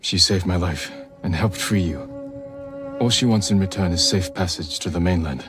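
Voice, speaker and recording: Man acting low-voiced, earnest samurai. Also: normal speed, over music, natural voice. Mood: earnest, persuasive. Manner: earnest, restrained, formal. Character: earnest, determined samurai lord, loyal nephew. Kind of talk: cinematic game drama acting.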